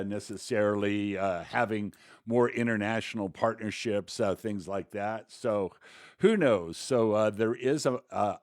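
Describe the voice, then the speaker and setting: A man's voice: on the low side, a little mellow. A man co-hosting a talk podcast, offering conversational economic commentary in a tentative, loosely phrased way. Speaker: podcast co-host.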